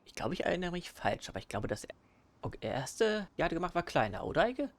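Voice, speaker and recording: A man's voice: fairly high pitch, big pitch swings, fast. A man giving casual let's play commentary as host.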